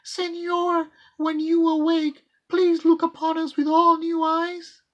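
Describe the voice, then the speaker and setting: Gentle young child, high and soft, a man putting it on. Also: monotone, slow pace, highs cut off. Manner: soft and pleading.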